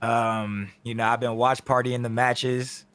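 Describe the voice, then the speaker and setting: Slightly hoarse laid-back co-host. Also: male voice, mid-range voice, measured pace. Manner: laid-back, slangy, energetic. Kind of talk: casual esports podcast chat.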